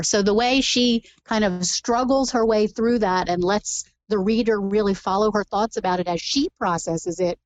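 A woman's voice: mid-range voice, no echo, low-quality recording. A woman talking about a book in a casual podcast chat, thoughtful and analytical.

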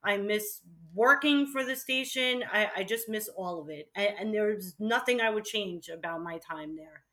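A woman's voice: mid-range voice, normal speed. A middle-aged woman reminiscing casually and emphatically as an interview guest, peppering her sentences with "like". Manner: animated, emphatic, peppers speech with "like". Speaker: interview guest, former college radio host.

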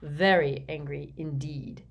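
Storybook role: controlled, cold male spy , performed by a woman narrator, measured and flat, with slow, quiet authority. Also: low voice, vocal fry, breathy edge, exaggerated voice. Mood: flat, stern.

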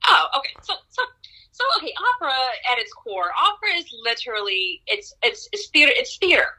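A young woman opera singer chats with an interviewer, her voice bright and sharp-edged. She tells stories with animated energy, laughing often and jumping upward in pitch at the exciting parts. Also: fairly quick, rough recording. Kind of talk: conversational interview storytelling.

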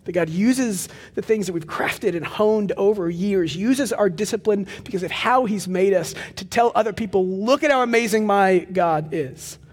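A young man preaching a sermon as pastor of a church, with a loud, projecting voice and animated storytelling asides. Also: high-pitched, moderate pace, few pauses, husky, big-room sound. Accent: Texan. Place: large church hall with live audience.

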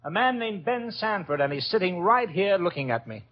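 An actor playing a breezy, wisecracking detective's assistant in a 1950s radio drama, with a brisk, wry, slangy delivery. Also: male voice, high voice, lively intonation. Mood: wry, teasing. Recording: muffled vintage 1950s radio recording.

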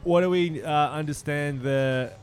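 Measured male co-host, music behind. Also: on the high side. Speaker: podcast co-host. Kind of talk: casual interview questions.

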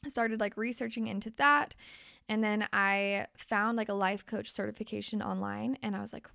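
A woman's voice: mid-range voice, steady tone, normal speed, creaky, sharp tone, dry recording, clean studio sound. A young woman podcast host and life coach who is losing her voice. She talks candidly and swears freely as she speaks straight to her listeners. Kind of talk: casual motivational podcast monologue.

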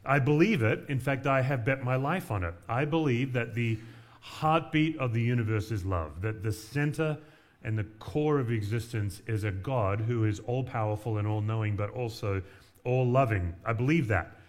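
A man's voice: mid-range voice, moderate pace. A man from Western Australia preaching as a guest pastor, conversational with light humor and frequent fillers. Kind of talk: sermon.

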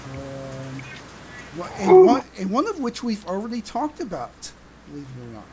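Animated podcast host, noisy recording. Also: mid-range voice, normal speed. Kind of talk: casual chat about pop idol fandom.

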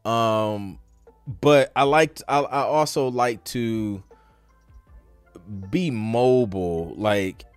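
A man's voice: medium pitch, drawn-out words, pauses often, smooth, no echo, faint music behind. A man hosting a podcast, talking in an informal, slangy, conversational way as in a live Q&A. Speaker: podcast host. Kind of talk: casual live Q&A chat.

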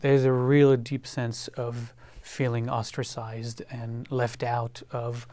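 A man from France with a French accent, a guest on a panel discussion who talks haltingly, with many fillers and repeated words. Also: mid-range voice, level tone, warm tone, no room sound.

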